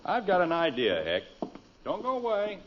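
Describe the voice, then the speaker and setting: Excitable, self-assured middle-aged American father, very animated, slightly high voice, muffled old radio comedy. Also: normal speed. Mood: confident. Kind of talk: 1950s radio comedy acting. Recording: muffled, noisy old-time radio recording.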